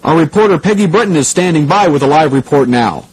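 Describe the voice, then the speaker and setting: A man's voice: on the high side, animated, fast, slight background noise, close to an everyday voice, poor audio. A man playing a serious radio news anchor covering a crisis, composed and formal.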